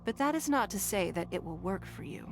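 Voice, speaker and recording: A woman playing a poised, shrewd and wealthy lady leader in a video game, speaking formally with measured authority. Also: medium pitch, expressive, creaky, over music. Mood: shrewd, assured.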